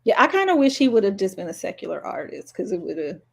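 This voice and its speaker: A woman co-hosting a podcast, chatting casually and telling personal stories in a playful, expressive way. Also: mid-range voice, fast, creaky, breathy edge. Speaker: podcast co-host. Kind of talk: casual chat, personal storytelling.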